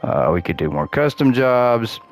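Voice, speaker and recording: A man's voice: medium pitch, sing-song, unhurried, soft background music. A man hosting a gaming channel, chatting casually while he plays, his voice cracking and worn as he loses it. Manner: chatty, upbeat, thinks aloud while playing. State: losing his voice. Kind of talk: casual gameplay commentary.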